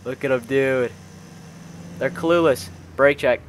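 A man's voice: mid-range voice, takes it slowly, a little hiss or hum. A young man commentating on gameplay casually with friends, exclamatory and full of slang like bro and dude. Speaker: gaming video host.

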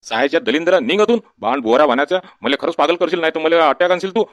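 A man's voice: a little high, fast talker, dry recording, close to an everyday voice. A man playing a village doctor in a comic cartoon, coaxing and colloquial. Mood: exasperated, scolding. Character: male village doctor, patient but easily exasperated.

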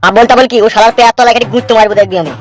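A man's voice: very high voice, steady tone, rapid-fire. A man voicing brash, lecturing village men in a comedy cartoon, with a blustery manner. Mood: blustering, angry. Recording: music behind the voice.

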